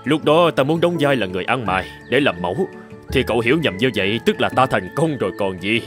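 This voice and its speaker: A man voices a humble, dignified older gentleman in a cartoon. He talks in a measured, gracious manner, with music clearly behind him. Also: medium pitch, expressive, natural voice. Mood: reflective. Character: humble, dignified older billionaire posing as a beggar. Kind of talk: dubbed cartoon dialogue.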